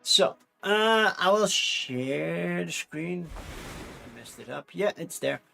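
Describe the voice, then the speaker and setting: A man's voice: on the high side, lively intonation, slow pace, creaky, sharp tone, faint music behind. Dutch-accented man chatting on a stream. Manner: chatty, rambling, many restarts and fillers. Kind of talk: casual livestream chat.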